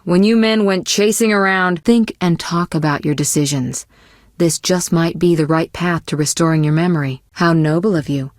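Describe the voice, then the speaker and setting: A woman acts out a poised young sorceress for a video game, her voice on the low side and recorded dry and close. She speaks in measured, articulate phrases, gently chiding. She sounds worldly and caring, with a teasing, possessive edge. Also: no room sound, natural voice. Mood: composed, gently chiding. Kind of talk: scripted video game voice acting.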